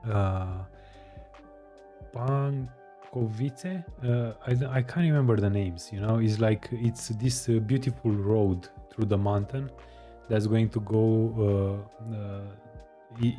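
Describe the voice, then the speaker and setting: A man hosting a live stream, chatting casually with viewers, hesitant with many pauses and fillers. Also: fairly low pitch, dark tone. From Romania. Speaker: live-streaming coding host. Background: faint music.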